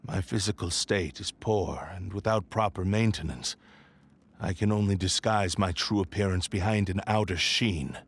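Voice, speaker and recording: An actor playing a proud, despairing sword spirit in a man's form in a video game, speaking gravely in formal, old-fashioned words. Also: sits low, slight background noise. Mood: grave, resigned. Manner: slow, grave, archaic formal speech.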